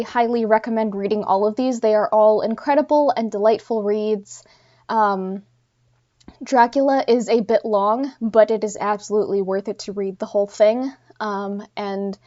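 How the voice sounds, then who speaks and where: A woman's voice: medium pitch, a little mellow. A woman hosting a podcast solo and unscripted, thinking aloud and correcting herself in casual talk, with a slightly congested voice. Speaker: podcast host. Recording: dull, lo-fi sound.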